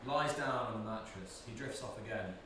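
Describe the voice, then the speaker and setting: A man's voice: on the low side, normal speed, far from the mic, background noise. A man reading stage directions as scripted narration, recorded in an echoey room away from the mic.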